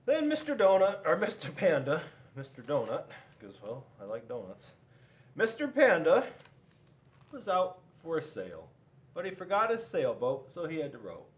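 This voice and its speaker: A man's voice: on the high side, wildly swooping pitch, moderate pace. A man reading a children's picture book aloud in a playful, informal, ad-libbing way. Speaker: police school resource officer reading to children. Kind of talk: animated children's storybook read-aloud.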